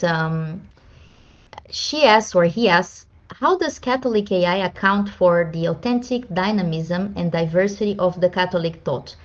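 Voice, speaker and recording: A woman from Brazil, moderating an online panel and putting audience questions to a guest. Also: fairly low pitch. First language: Portuguese. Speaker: host. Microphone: video call. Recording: slightly dull video call audio.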